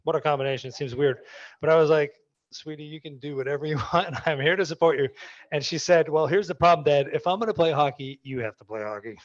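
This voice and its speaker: A man's voice: fairly high pitch, fast, dry recording, dull audio. A man, answering audience questions in a conversational way full of restarts. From Maine, US. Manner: conversational, many restarts and fillers. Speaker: guest speaker.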